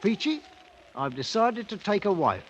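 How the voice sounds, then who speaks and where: An actor playing a stubborn British ex-soldier turned king, with a working-class English accent and a commanding, rough-spoken manner, in 1950s radio drama style. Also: sits high, very animated, moderate pace, slight background noise. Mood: settled. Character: proud, stubborn British ex-soldier crowned king of hill country. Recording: vintage 1950s radio broadcast, loud music.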